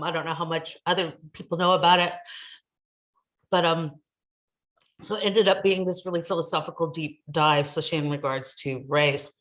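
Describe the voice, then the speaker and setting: American woman on a video call, lower voice, talking slow and hesitant like she's thinking it through. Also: fairly bright tone. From United States. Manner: thoughtful, hesitant, many ums. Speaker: academic guest speaker. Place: home in New Zealand.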